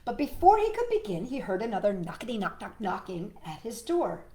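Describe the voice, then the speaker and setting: Animated woman reading to children, echoey room. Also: mid-range voice, a little fast. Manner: expressive, addressing young listeners with questions. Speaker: storytime host. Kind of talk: animated children's picture-book read-aloud with asides.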